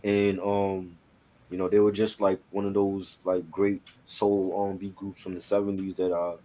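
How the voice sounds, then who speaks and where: This is a man with a low voice, co-hosting a relaxed talk show. He speaks informally and conversationally, as if chatting with friends, and often drops in a "you know". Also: normal speed. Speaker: co-host. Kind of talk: casual chat.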